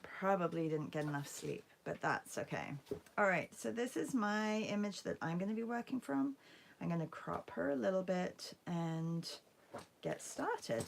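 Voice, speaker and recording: A woman with a hoarse, croaky voice after a concert, chatting casually with viewers as she hosts an art livestream. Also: on the low side, lively intonation, measured pace, slight background noise. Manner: chatty, greets viewers by name. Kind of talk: casual livestream chat while painting.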